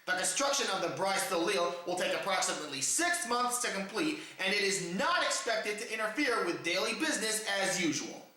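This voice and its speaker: A man's voice: high-pitched, lively intonation, fairly quick, hardly any pauses, pushed and bright. A man hosting a satirical news report, addressing his audience formally in a theatrical, mock-dramatic manner. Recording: very echoey, reverberant room.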